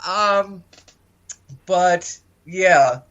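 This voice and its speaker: On slightly dull, lo-fi audio, a man with a high voice chats as a podcast co-host. His delivery is conversational and a bit tentative, circling back over phrases in a casual review. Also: drawn-out words, fairly bright tone. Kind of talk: casual film review chat.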